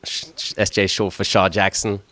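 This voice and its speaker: A man's voice: deep voice, little pitch movement, a little fast, bright, forceful tone, no echo. A middle-aged man who grew up in Switzerland, a chef speaking as a guest, telling his personal story in a hesitant way and often repeating words as he starts his sentences.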